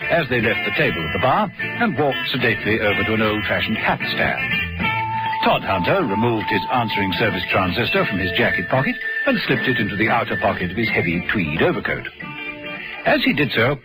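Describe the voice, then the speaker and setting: A man's voice: lowish voice, sing-song, a little fast, rough recording. A man with a British accent reading scripted narration for a vintage radio drama. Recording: vintage radio broadcast, music behind.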